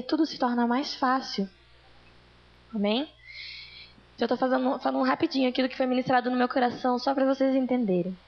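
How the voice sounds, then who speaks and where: A woman speaks to a church congregation in a fairly high, gravelly voice. She tells her testimony earnestly, like a story. The recording sounds dull and lo-fi. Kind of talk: testimony sermon.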